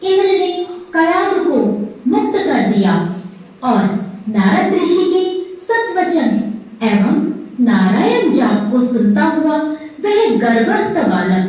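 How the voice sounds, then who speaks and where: A woman narrates a moral tale in a high, highly animated voice. Her delivery is slow and dramatic, set out in short phrases, and it rings with heavy echo. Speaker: storyteller. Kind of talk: scripted mythological storytelling in a moral story. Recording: heavily echoing, distant-sounding voice.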